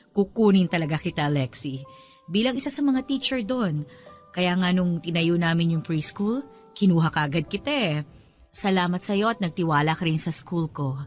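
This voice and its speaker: A woman playing a warm, encouraging school owner in a radio drama, speaking in an affectionate, effusive, teacherly way. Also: lowish voice, close to an everyday voice. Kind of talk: radio drama dialogue in Taglish.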